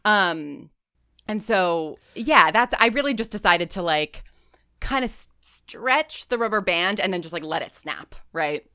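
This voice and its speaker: A woman talking casually as an interview guest, animated and often restarting her phrases as she explains her creative work. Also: mid-range voice, a little slow, clear, resonant tone, no room sound, clean studio sound. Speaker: guest, audio fiction writer and creator.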